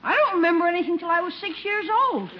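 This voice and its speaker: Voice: very high voice, lively intonation, quick pace. An actor playing an eager, mischievous young nephew in a 1940s radio comedy. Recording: muffled noisy 1940s radio recording.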